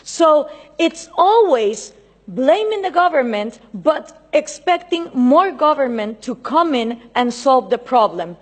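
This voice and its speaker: A woman's voice: high-pitched, sing-song, slow pace. Emphatic Central American woman speaking. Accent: Central American Spanish. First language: Spanish. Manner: emphatic and insistent, gesturing a lot. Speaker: invited speaker. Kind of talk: political speech at a panel. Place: large conference hall. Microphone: table microphone.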